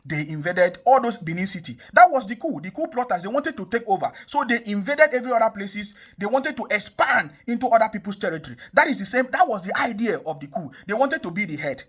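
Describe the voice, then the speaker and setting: High, lively Nigerian man ranting. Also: fast talker, few pauses, slightly rough. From South South Nigeria. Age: young adult. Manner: emphatic, repetitive, rhetorical questions. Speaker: online commentator. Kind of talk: impassioned political commentary.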